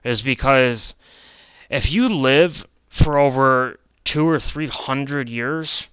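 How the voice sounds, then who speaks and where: Bright, clear young man rambling. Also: medium pitch, slow pace, no echo, low-quality recording. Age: 20s. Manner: rambling, digressive, with fillers and self-corrections. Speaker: channel host. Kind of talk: casual ranting lecture over slides.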